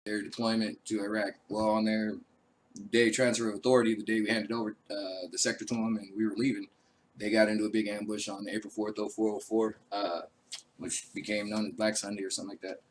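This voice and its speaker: A man who is an army veteran hosting his own show, talking casually and rambling with many fillers, his voice raspy from a cold. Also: on the low side, level tone, brisk, slight background noise, poor audio. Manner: laid-back, rambling, frequent uh fillers. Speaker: show host. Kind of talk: casual live-stream talk.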